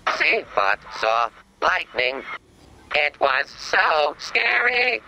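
An actor voicing a skittish little game robot with old-time gangster bluster, speaking in halting, dramatic third person. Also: male voice, a little high, very animated, gravelly, noisy background, heavily acted. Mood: frightened.

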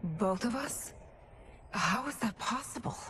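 An actress playing a regal, heartfelt warrior empress in a video game, earnest and softly dramatic. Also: female voice, on the high side, lively intonation, unhurried, a little hiss or hum. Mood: stunned. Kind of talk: video game cutscene acting.

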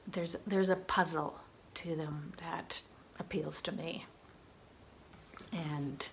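A woman hosting a book channel, talking through her recent reads in a relaxed, musing way with frequent pauses. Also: on the low side, slight background noise. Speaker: booktube host. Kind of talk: casual book review.

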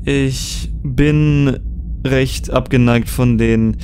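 Man playing cool military agent, medium pitch, reserved, dry delivery, science fiction audio drama. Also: steady tone, very slow, darkish tone, slight background noise. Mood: dry, guarded. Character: cool, guarded male military intelligence agent.

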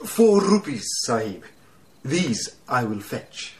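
A man's voice: medium pitch, big pitch swings, takes it slowly, husky, full character voice. Formal, devout male servant. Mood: respectful. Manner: formal, terse, respectful. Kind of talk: radio drama acting.

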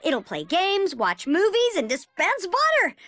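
A woman voicing a spunky, bossy, excitable teenage bandicoot girl who invents gadgets, in a game cutscene. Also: extremely high pitch, a little slow, dry recording, full character voice. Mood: eager, bossy. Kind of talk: animated game cutscene acting. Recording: music behind the voice.

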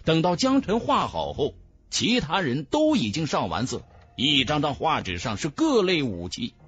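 A man's voice: fairly high pitch, wildly swooping pitch, moderate pace, a bit bright, husky, highs cut off. A man narrating a fantasy novel as a scripted audiobook, with emphatic, dramatic storytelling.

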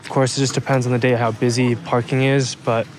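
A man's voice: mid-range voice, level tone, brisk, noisy background. A young man narrating a casual travel vlog in a matter-of-fact, informative way. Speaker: travel vlogger. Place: outdoors at a snowy canyon overlook. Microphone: phone camera at arm's length.

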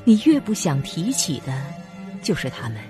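Sinister, vengeful young storyteller. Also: female voice, on the low side, sing-song, moderate pace. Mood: bitter, ominous. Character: sinister, vengeful young woman telling her family's tragedy. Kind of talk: suspense radio drama narration. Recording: music behind the voice.

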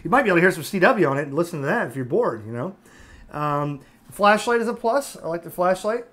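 A man giving a casual, unscripted product review, talking conversationally and haltingly as he thinks aloud. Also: high voice, very animated, a bit bright. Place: indoors at a table.

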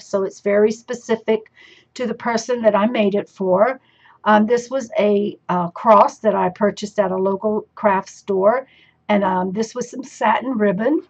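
A woman casually explaining a handmade photo album step by step, a little hoarse, with filler words as she talks. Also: mid-range voice, a little mellow, muffled recording. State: slightly hoarse. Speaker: crafting teacher. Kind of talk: casual show-and-tell demonstration. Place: indoors at a craft table. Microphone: camera overhead on tabletop.

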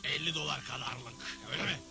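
High-voiced, pompous old crooked judge. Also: male voice, fairly quick, creaky, music in the background. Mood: greedy, stern. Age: older. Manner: pompous, declamatory, officious. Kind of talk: dubbed cartoon acting.

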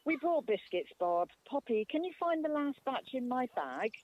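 A woman's voice: high-pitched, very animated, moderate pace, lo-fi sound, slight background noise. An actor, a woman playing a busy, kindly, apologetic village mother in a radio play. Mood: cheerful, hurried.

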